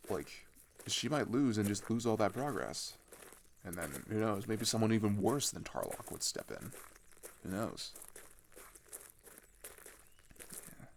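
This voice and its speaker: A low, gravelly young male voice, a man acting an earnest, frustrated teenage traveller in an intimate audio roleplay. He thinks out loud in casual, halting rambles. There is a little background noise behind him. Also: exaggerated voice. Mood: weary, doubtful. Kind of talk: ASMR audio roleplay, casual improvised-sounding chat.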